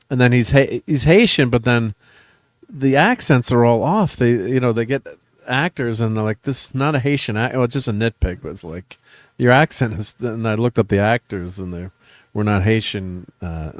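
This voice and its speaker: A middle-aged man with a fairly low voice talks loosely, full of "uh"s and restarts as he hosts a casual show. The sound is close and dry, with no room around it. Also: no room sound. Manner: rambling, many fillers and restarts. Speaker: host. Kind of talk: casual chat.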